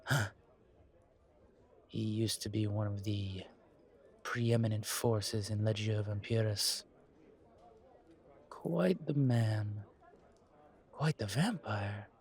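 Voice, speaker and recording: A man voicing a courtly, wary, centuries-old vampire in a roleplay audio drama, speaking in a measured, formal, breathy way. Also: on the low side, darkish tone. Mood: reverent, reminiscing. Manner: measured, formal, politely dry.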